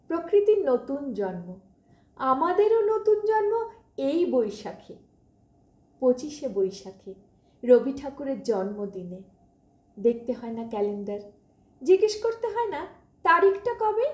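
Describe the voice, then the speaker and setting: A woman reciting poetry expressively, with dramatic, deliberate phrasing and pauses. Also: high-pitched, a little mellow. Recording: roomy, echoing voice recording.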